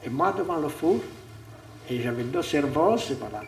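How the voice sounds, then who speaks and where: An older man, a retired pizza maker, reminiscing slowly in a casual interview. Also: on the high side, lively intonation, a little mellow, distant mic. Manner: slow, reminiscing.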